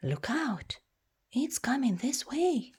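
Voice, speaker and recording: A woman voicing excitable little characters who face dragons in a children's storybook, exclaiming their lines. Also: high voice, takes it slowly, slightly rough, voice pushed up, heavily acted. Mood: alarmed.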